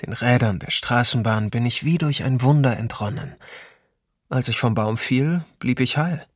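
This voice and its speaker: An actor playing a wry, reflective survivor of occupation, musing aloud in a documentary radio drama. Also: male voice, mid-range voice, moderate pace, no echo, clean studio sound, close to an everyday voice. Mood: wry wonder.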